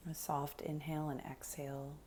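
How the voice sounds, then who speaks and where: A woman leading a guided meditation in a soft, breathy, unhurried voice that often nears a whisper, giving gentle, soothing instructions. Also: low-pitched, little pitch movement, slight background noise.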